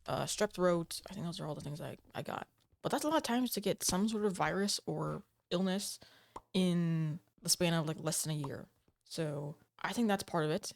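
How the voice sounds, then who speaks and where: A woman with a slightly low voice, a little off from a cold, talks straight to camera from home. She rambles in a casual vlog style, hesitating, restarting and padding her sentences with fillers. Her voice is picked up by a desk microphone. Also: darkish tone. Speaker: gaming channel host. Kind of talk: casual talking-to-camera update.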